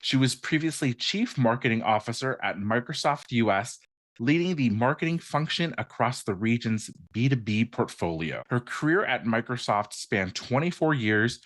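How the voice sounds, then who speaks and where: A man's voice: medium pitch, expressive, moderate pace, a bit bright. A man hosting a podcast, reading the guest's introduction in an upbeat scripted way. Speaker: podcast host.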